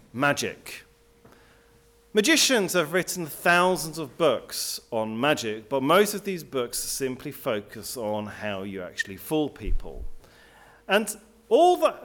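Animated Swiss-raised man giving a lecture. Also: mid-range voice. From Switzerland. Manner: animated, emphatic lecturing with restarts. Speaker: guest speaker. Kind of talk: public lecture.

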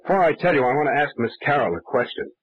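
An actor playing a shrewd, commanding young investigator in an old-time radio mystery, speaking tersely with dry, probing sarcasm. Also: male voice, mid-range voice, expressive, racing, slightly rough. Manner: terse, probing, dryly sardonic. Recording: muffled vintage radio drama recording.

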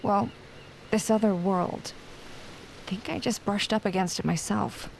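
An actress playing a curious, wry young punk-band musician in a naturalistic audio drama, with casual, hesitant delivery. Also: female voice, medium pitch, unhurried, creaky, a little hiss or hum. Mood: uneasy.

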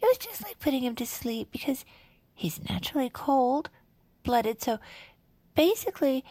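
An actress playing a sentimental, gushing true crime podcast co-host, earnest and effusive, in scripted audio fiction styled as chat. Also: female voice, high-pitched, big pitch swings, normal speed, warm tone, no echo. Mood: wistful.

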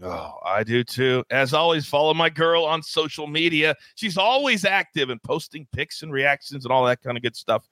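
A man hosting a sports betting show, hyped and playful, with big swings in pitch. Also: lively intonation, normal speed, hardly any pauses, pushed and bright, no room sound. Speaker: host.

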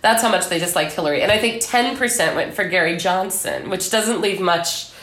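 A woman's voice: fairly low pitch, fairly quick, fairly bright tone, echoey room, poor audio. An American woman from southern Illinois, an author speaking as a podcast guest. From southern Illinois, United States. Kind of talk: literary reading and interview talk.

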